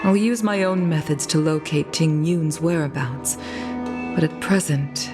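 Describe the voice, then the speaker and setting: A dignified fox-like commander in a game speaks in a low, measured and formal tone, heavy with sorrow. Her words come slowly and carefully. Game music plays clearly underneath. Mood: solemn. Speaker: commander of a fleet commission. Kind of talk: game cutscene voice acting. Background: game soundtrack music.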